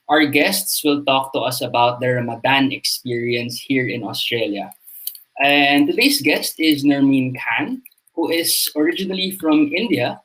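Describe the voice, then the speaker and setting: Halting male host on a video call. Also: fairly high pitch, a bit bright, poor audio. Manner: halting, many fillers. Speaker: interview host. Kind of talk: hosting a video-call interview.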